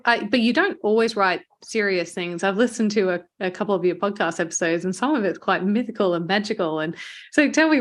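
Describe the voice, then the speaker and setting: Woman hosting a conversational interview, medium pitch. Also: a bit bright. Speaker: podcast host, interviewer.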